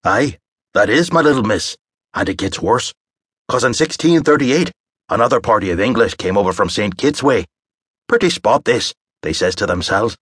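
A man doing a booming piratey old bar owner. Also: animated, moderate pace, a bit bright, slightly rough, no room sound, clean studio sound. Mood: theatrical. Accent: Irish-tinged pirate. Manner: dramatic, theatrical, booming.

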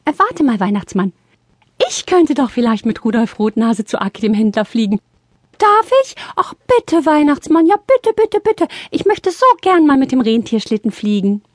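Breathy, eager little fairy. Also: female voice, fairly high pitch, big pitch swings, moderate pace, no echo, clean studio sound. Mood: pleading. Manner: childlike, eager, addressing children. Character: small, eager, chirpy young Christmas fairy. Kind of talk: children's audio play acting.

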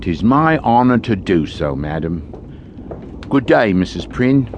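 An actor playing a sly, greedy, falsely pious gravedigger with a theatrical in an audio horror drama. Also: male voice, on the low side, big pitch swings, a little slow, dull audio, background noise. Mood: oily, falsely humble.

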